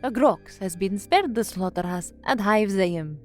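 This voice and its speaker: A woman's voice: medium pitch, lively intonation, measured pace, a little mellow, soft background music, natural voice. A woman playing an earnest, uneasy young novice newsreader in a formal, introspective audio drama. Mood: crisp, formal.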